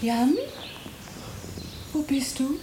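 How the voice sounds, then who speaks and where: An actress playing a concerned, sceptical, plain-spoken woman in a German radio play, with a husky, breathy voice. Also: high voice, very slow, noisy background. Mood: drowsy, puzzled.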